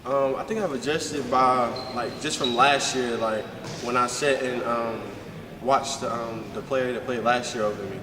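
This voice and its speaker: A teenage boy on a high school basketball team answers in a flat, halting way, saying "like" every few words. He stands far from the mic in a hall with long reverb. Clear background noise runs under it. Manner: hesitant, leans on "like" constantly.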